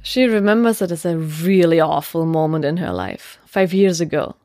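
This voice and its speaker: A woman reporter narrating a documentary report in a clear, steady, explanatory way. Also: sits low, normal speed, soft background music.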